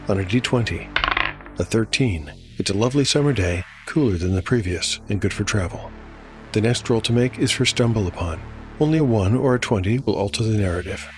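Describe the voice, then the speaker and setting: A man's voice: fairly low pitch, fairly quick. A man narrating a scripted fantasy tale as the storyteller and game master. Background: music bed.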